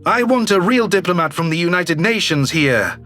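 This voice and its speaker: A man voicing a shrewd, businesslike male alien governor in an audio story, brisk and authoritative. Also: sits high, expressive, bright, forceful tone, faint music behind. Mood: curt, demanding.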